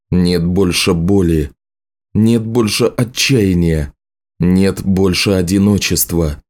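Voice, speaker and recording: Male narrator for literary fiction: low, soft-edged, dark tone, measured and serious. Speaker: audiobook narrator.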